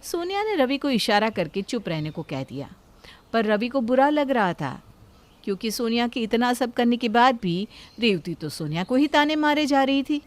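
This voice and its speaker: A woman's voice: a little high, very animated, normal speed. A woman reading scripted narration for a moral story.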